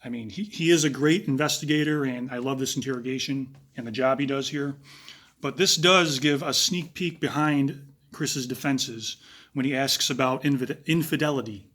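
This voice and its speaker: A man with a raspy voice giving analytical true crime commentary, talking casually with pauses and fillers. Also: mid-range voice, fairly bright tone.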